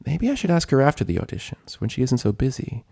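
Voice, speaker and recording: A man's voice: medium pitch, big pitch swings, fast talker, creaky, warm tone, husky, dry recording, clean studio sound, pitched above the performer's own voice, heavily acted. A man voicing a nervous, earnest young female pony with an anxious, self-doubting manner in a story.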